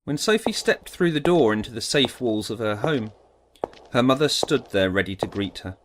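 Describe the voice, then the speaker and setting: A man's voice: medium pitch, expressive, moderate pace, background noise. A man giving a scripted, dramatic narration of an audiobook story.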